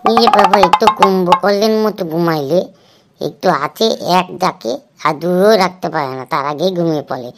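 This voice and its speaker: A woman voicing a lowish-voiced, chatty cartoon pupil. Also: moderate pace, over loud music, lightly acted. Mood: amused griping. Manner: conspiratorial, chatty, complaining. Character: chatty young school pupil griping about sleepy teacher. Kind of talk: comedy cartoon dubbing.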